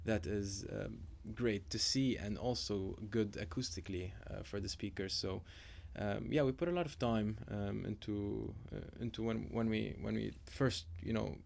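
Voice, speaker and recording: A man's voice: lowish voice, normal speed, vocal fry, hardly any pauses, darkish tone. A young man from Lebanon, a nightlife organizer talking casually on radio, hesitant with many ums and repeated words.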